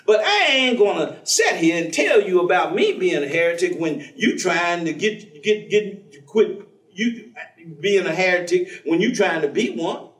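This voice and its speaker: An actor playing a warm, devout, folksy man, teasing and preaching in a conversational staged reading. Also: high-pitched, animated, measured pace. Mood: earnest, reflective. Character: warm, devout, folksy man, witty self-styled outlaw believer. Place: theatre stage. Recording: echoey stage recording from far off.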